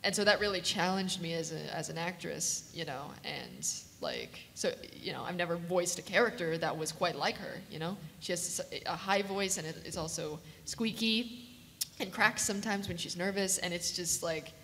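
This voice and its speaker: A woman chatting casually and informally as a panelist, animated and jokey. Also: fairly low pitch, moderate pace, gravelly. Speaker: convention panelist, voice actress. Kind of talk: casual chat. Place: large convention room with audience. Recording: echoey hall, noisy pickup.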